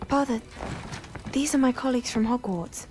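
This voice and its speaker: A softly intense witch played by a woman, grieving yet resolute. Her voice sits fairly high, with faint music beneath, in the manner of a dramatic fantasy game. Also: moderate pace, natural voice. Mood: composed, resolute. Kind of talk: cinematic fantasy game acting.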